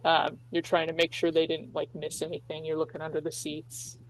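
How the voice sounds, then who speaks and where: A woman game master narrating a tabletop roleplaying game in a halting, informal, explanatory way. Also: low voice, completely flat delivery, poor audio.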